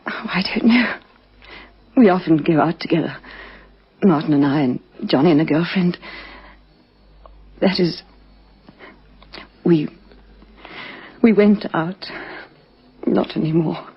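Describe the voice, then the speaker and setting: A woman playing a grieving widow in a radio crime drama, hesitant and faltering, with a breathy, husky voice that drops to a hush at times. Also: fairly low pitch, takes it slowly, long pauses, heavily acted. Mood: hesitant, subdued. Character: grieving recent widow, shaken and halting, adult. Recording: dull lo-fi vintage radio recording.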